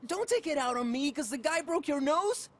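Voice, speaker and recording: High-pitched, whiny street crook. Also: male voice, animated, moderate pace, a bit bright. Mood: defiant, nervous. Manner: whiny, nervous, street-tough slang. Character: cornered, pleading male small-time drug criminal.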